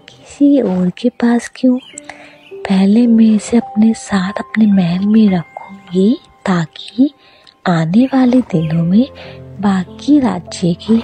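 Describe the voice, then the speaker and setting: A woman's voice: medium pitch, mellow, natural voice. A woman voicing a vain young princess in a cartoon story, with a self-important, dramatic manner. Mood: gloating.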